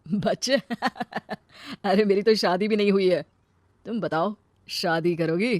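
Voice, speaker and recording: A woman's voice: medium pitch, takes it slowly, darkish tone, no echo, clean studio sound, lightly acted. A woman voicing a young male suitor and husband in a cartoon story, talking in an insistent manner. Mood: wooing.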